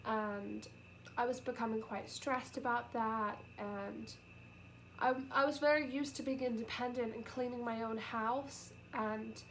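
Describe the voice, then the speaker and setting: Young woman, stuffy nasal cold voice, somewhat high, hesitant and soft, faint hiss behind. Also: fairly even intonation, poor audio. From United Kingdom. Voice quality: sniffly, nasal. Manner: halting and soft-spoken, with many pauses and fillers. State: congested with a cold, close to tears. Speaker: vlogger. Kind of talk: emotional personal vlog. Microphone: camera.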